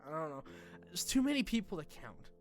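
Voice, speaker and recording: Young man, fairly high voice, casual vlog talk, halting, self-deprecating, candid, music behind. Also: sing-song. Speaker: YouTuber addressing followers.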